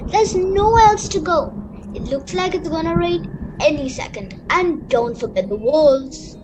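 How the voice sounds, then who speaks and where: A woman's voice: high voice, expressive, a little slow, background noise. A female performer playing a bossy, sensible young girl in an amateur radio play, scolding and matter-of-fact. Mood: insistent. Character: bossy, sensible young girl, impatient older-sister type.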